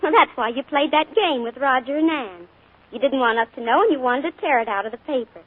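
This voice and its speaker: Earnest, prying young niece; high, bright-toned voice, thin 1940s broadcast sound. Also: female voice, animated, fairly quick. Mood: eager. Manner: eager and insistent. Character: earnest, curious young woman, the uncle's niece. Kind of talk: 1940s radio acting. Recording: tinny old radio broadcast.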